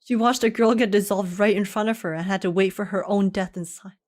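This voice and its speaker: A woman's voice: medium pitch, fairly even intonation, fast, a little mellow. A woman livestreaming a game in an informal, giggly manner, chatting casually and reading text aloud. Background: game music.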